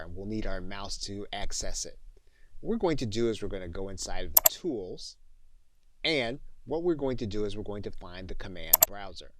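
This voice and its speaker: A man narrating a screen-recorded software tutorial, walking through steps in a steady, repetitive way. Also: fairly low pitch. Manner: steady, walks through steps with repeated phrasing. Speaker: software instructor.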